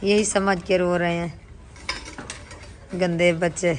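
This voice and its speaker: A woman from Pakistan, chatting on a family village vlog. She has a sore throat and talks warmly, calling her husband the viewers' brother. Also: fairly low pitch, little pitch movement, very slow, slight background noise. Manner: warm, chatty, addresses viewers as "your brother". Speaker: family vlogger. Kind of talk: casual family vlog chat. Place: rural village home.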